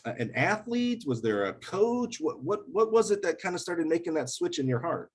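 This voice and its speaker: A man's voice: a little high, big pitch swings, normal speed, husky. A man hosting a podcast interview, asking questions in a casual and stumbling way. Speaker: podcast host.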